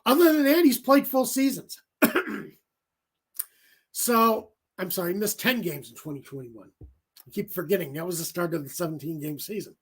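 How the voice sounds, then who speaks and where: A man hosting a sports talk show on his own, giving rambling commentary full of fillers and self-corrections, his voice going raspy from talking for an hour. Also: sits high, expressive, normal speed. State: voice getting raspy. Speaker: solo sports show host.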